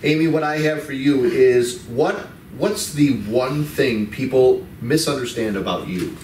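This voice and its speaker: A middle-aged man hosting a casual office talk show, easygoing and halting, with fillers and restarts. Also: medium pitch, darkish tone. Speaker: host. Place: office lounge set with sofas. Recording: roomy, distant mic with faint noise.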